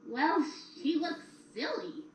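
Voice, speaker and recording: Eager young pony student woman's performance: high, earnest, a little awkward, audio drama heard from some distance. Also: sing-song, slow, lo-fi sound, heavily acted. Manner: earnest, inquisitive, slightly awkward. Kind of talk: fan audio drama acting. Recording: echoey, distant, faintly noisy playback.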